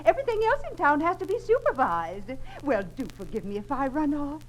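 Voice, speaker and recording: An actress playing an effusive society matron who gushes over culture, in old-time radio drama style. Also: female voice, high voice, sing-song, brisk. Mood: breezy, effusive. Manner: effusive, gushing. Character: gushing society matron, culture-loving concertgoer. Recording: noisy old radio transcription.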